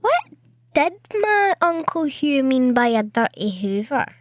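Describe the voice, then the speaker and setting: A woman's voice: high voice, sing-song, a little slow, dry recording, highs cut off. An actress playing a trusting, inquisitive little girl with a Glaswegian accent and a childlike, plaintive way of asking, in an audio drama. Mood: hurt, confiding. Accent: Glaswegian Scottish.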